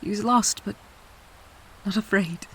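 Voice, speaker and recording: Woman playing a grieving, hushed noblewoman, noisy backdrop. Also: mid-range voice, measured pace, close to an everyday voice. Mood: tender, wistful. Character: grieving noblewoman countess and adoptive mother, tender, anguished. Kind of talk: audio drama acting.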